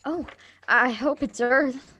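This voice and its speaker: High-voiced eager schoolgirl played by a woman. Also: takes it slowly, bright, forceful tone, dry recording, muffled recording, full character voice. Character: schoolgirl granddaughter, eager, bright, polite time traveller. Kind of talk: live script reading of radio drama.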